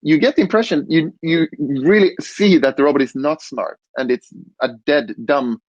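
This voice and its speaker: A man on a conference panel explaining design, relaxed, with fillers and restarts. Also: fairly high pitch, normal speed, breathy edge, dry recording, lo-fi sound. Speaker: robot interaction designer.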